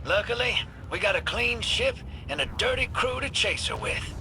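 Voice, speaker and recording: A man's voice: high-pitched, expressive, normal speed, sharp tone, a little hiss or hum, heavily acted, poor audio. An actor voicing a cocky, wisecracking male space smuggler with a sardonic, drawling, slangy delivery in a video game. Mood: knowing.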